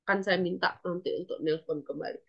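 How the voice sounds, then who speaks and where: A woman's voice: lowish voice, steady tone, brisk, creaky, highs cut off. A woman with an Indonesian accent teaching an online English class as lecturer, instructively repeating and translating example phrases.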